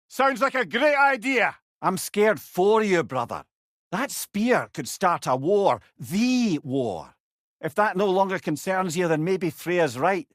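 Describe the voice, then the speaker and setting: An actor with a Scottish accent playing a wise, witty talking severed head, a loyal older advisor with eloquent, old-fashioned phrasing in a video game. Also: male voice, sits high, a bit bright, dry recording, studio quality, exaggerated voice. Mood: sarcastic, then concerned, earnest. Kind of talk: video game character dialogue.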